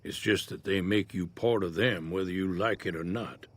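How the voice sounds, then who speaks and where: An actor playing an easygoing older American prospector in a Western-style video game, folksy and laconic in manner. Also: male voice, low-pitched, moderate pace. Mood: measured, wary. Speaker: game character, townsfolk prospector. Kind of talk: video game character dialogue.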